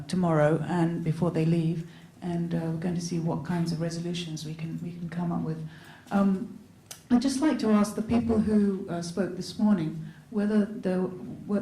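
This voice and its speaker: A woman moderates a conference panel in a mellow, fairly low voice, politely steering the talk with frequent fillers. She sounds a little far off, in an echoey hall with faint background noise. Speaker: panel discussion leader.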